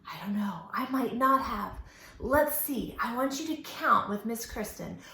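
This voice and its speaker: A woman hosting a children's storytime lesson, speaking in an animated, sing-song, instructional way and enunciating carefully for young children. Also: fairly high pitch, unhurried, creaky. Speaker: children's library storytime host. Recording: roomy echo, faint background noise.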